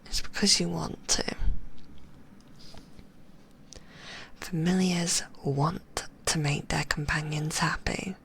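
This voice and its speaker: A woman voicing a possessive, sweetly manipulative female magician, whispering in a soft, cooing and softly condescending way. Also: mid-range voice, vocal fry, breathy edge, heavily acted. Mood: teasing. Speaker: ASMR roleplay performer. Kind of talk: whispered ASMR roleplay.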